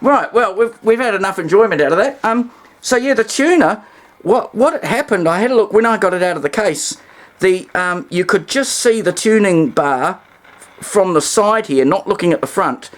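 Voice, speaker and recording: A man's voice: sits high, animated, normal speed. A man with a New Zealand accent giving a casual, rambling workbench demonstration with wry humour. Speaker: hobbyist presenter.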